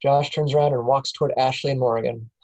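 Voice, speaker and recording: A man's voice: mid-range voice, steady tone, brisk, darkish tone. A man reading scripted stage directions aloud as the narrator at a table read.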